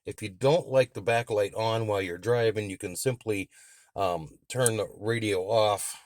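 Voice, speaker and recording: A man's voice: fairly low pitch, moderate pace, no echo, clean studio sound. A man reviewing and testing a radio in a plain-spoken, explanatory way, as a hands-on demonstration.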